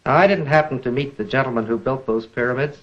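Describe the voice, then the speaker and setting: A middle-aged American man presenting an educational lecture in a measured, deliberate manner, posing rhetorical questions, on a 1950s film soundtrack. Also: mid-range voice, highs cut off.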